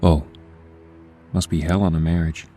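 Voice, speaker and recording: An actor playing a cocky, hot-headed district attorney in a comic-book audio drama, husky, sardonic and impatient. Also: male voice, low voice, little pitch movement, moderate pace, dark tone, soft background music, natural voice. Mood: wry, impatient.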